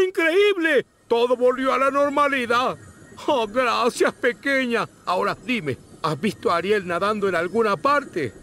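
Very high, fussy cartoon crab. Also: male voice, measured pace, sharp tone, slight background noise, heavily acted. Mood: relieved. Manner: theatrical, exasperated, bossy. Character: fussy, anxious, melodramatic male crab conductor. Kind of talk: animated video game voice acting.

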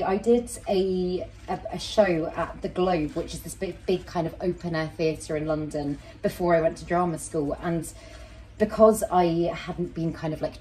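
A woman in her thirties with a fairly low, deep voice talks to camera while she works with soil and roots. She rambles and breaks into her own sentences in an easy, unscripted way. The sound comes from a camera set at medium distance in a small home kitchen. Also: a little mellow. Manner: chatty, rambling, self-interrupting. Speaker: houseplant channel host. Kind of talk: casual chat while repotting a plant. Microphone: fixed camera at medium distance.

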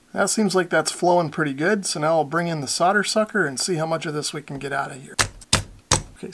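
A man narrates a hands-on tutorial. His voice sits slightly higher than most men's. He explains each step in a plainspoken, methodical way. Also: a bit bright, slight background noise. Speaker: host of a radio repair tutorial.

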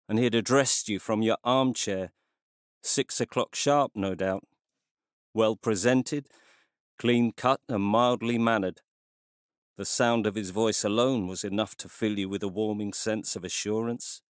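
A man narrating a literary story in a measured, scripted way. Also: fairly low pitch, no room sound, clean studio sound.

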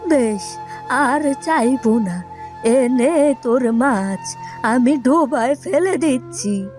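Slow, querulous elderly village mother. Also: high-pitched, lively intonation, a little mellow, faint music behind. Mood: hurt, scolding. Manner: scolding, drawn-out complaining. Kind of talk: animated fairy tale acting.

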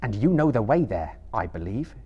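A man voicing a grave young hero who speaks quietly and sternly. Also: on the low side, sing-song, normal speed, breathy edge, muffled recording. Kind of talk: audiobook character voice.

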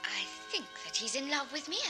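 A woman's voice: high-pitched, animated, fairly quick, music in the background. A woman playing a coquettish, fickle sixteen-year-old noble girl in a 1960s children's record drama. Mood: flighty, gossipy.

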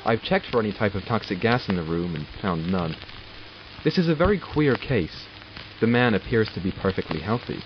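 A man acts out a doctor dictating case logs in an audio drama about supernatural captives. His voice sits on the low side, and his delivery is formal and measured with dry, sardonic curiosity. The sound is somewhat dull and lo-fi, with music playing behind him. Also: expressive, close to an everyday voice. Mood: clinical, puzzled. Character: male doctor logging studies of supernatural captives, curious and wry. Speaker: voice actor. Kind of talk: audio drama monologue as spoken log entries.

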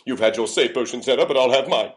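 A man's voice: mid-range voice, quick pace, natural voice. Man playing an indignant, melodramatic knight. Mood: firm. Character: desperate, insistent knight demanding potions. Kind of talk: comic sketch voice acting.

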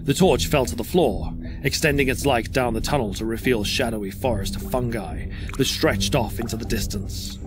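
A man narrates a scripted horror story as in an audio drama. His voice sits at an average pitch, and music plays clearly behind him. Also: moderate pace.

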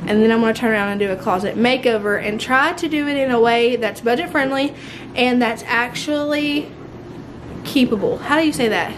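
A woman's voice: a little high, brisk, noisy background. A woman, chatting casually and ramblingly to camera indoors at home. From United States. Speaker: homemaking vlogger. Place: indoors, open-plan living room and kitchen of a mobile home. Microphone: handheld camera at arm's length.

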